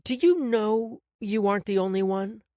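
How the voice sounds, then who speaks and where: A woman voicing a calm, cruel woman who toys with her captives, speaking softly and with quiet menace. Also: mid-range voice, normal speed, no room sound, studio quality, natural voice. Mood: cold, menacing. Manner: soft, coolly menacing.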